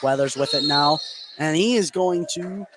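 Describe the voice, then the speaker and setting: A man's voice: fairly high pitch, normal speed. An American man doing live radio basketball play-by-play as the game's commentator. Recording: noisy gym ambience behind commentary.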